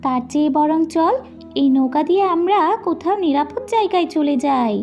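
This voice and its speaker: A poor cartoon mother, voiced by a woman, tender and patient. Her high voice soothes with sighing endearments over faint music. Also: normal speed, darkish tone, lo-fi sound. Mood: tender, sorrowful.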